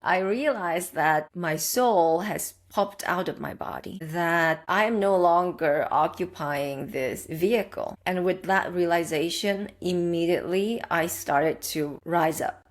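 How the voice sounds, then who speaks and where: A woman's voice: fairly low pitch, measured pace, hardly any pauses. A young adult woman from the Czech Republic, a guest telling a personal story in an earnest, reflective, conversational way.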